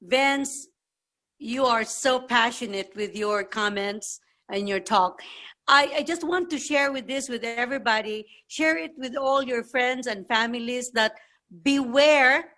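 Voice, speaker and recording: A woman's voice: fairly high pitch, unhurried, a bit bright. A woman giving informal political remarks on a group video call, earnestly urging her listeners and repeating herself. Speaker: meeting participant.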